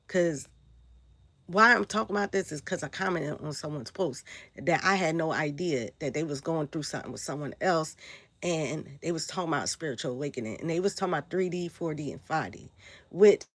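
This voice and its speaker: A woman from Brooklyn with a New York accent, hoarse and raspy from a sore throat, talking loosely on a live stream and often asking "right?". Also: low voice, a little fast, a bit bright, dry recording. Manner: rambling, loose, frequent "right?" and "like". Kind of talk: casual live-stream talk answering chat.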